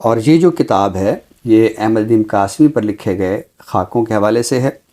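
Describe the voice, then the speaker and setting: A man with a Pakistani accent presenting a literary book review in a measured, scholarly, deliberate way. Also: lowish voice, mellow. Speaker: literary guest presenter introducing books.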